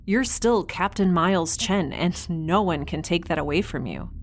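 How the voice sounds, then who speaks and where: An actress playing a frank, principled space crewmate in an audio drama, talking in an earnest, firm, naturalistic way. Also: female voice, lowish voice, moderate pace, slight background noise, close to an everyday voice. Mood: earnest, encouraging.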